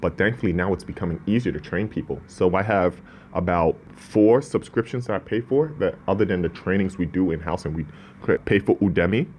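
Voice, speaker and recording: A man's voice: sits low, fairly quick, a little mellow, slight background noise. A man born in Ghana, speaking as a business owner in an interview, earnest and prone to restarts. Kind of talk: casual interview talk.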